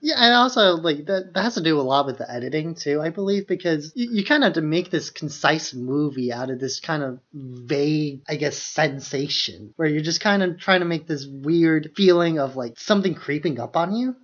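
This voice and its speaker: A man's voice: on the high side, expressive, normal speed, hardly any pauses, fairly bright tone, dull audio. A man co-hosting a podcast, discussing films casually in an informal way peppered with "like". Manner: informal, hedging with many "like"s. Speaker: podcast co-host.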